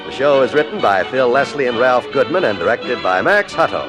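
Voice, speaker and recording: Old time radio announcer guy, 1950s scripted read, animated pitch, old broadcast sound. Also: brisk, over loud music, low-quality recording. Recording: vintage radio broadcast recording.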